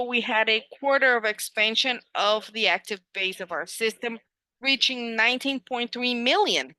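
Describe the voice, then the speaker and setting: This is a woman with a bright, forceful voice, clean and crisp in tone. She sits slightly high in pitch and interprets a corporate presentation live, in halting chunks, one phrase after another. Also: no room sound, rough recording. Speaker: interpreter on an earnings call.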